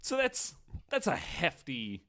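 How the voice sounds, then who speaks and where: A man presenting a researched story on a casual podcast, speaking in a halting way as he explains from his notes. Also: on the high side, wildly swooping pitch, fairly bright tone.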